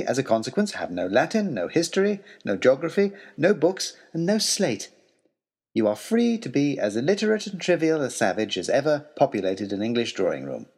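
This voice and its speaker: An earnest, wordy tutor turned adventurer in an audio drama. His voice is husky and swings widely in pitch as he tells his tale with formal eloquence and wry self-mockery. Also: darkish tone, full character voice. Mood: wry, wounded. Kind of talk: dramatic reading of a letter, storytelling.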